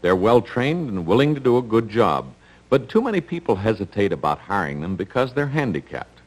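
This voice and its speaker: A man's voice: lowish voice, big pitch swings, brisk, poor audio. An American man hosting a radio drama, reading scripted narration in a 1950s announcer style.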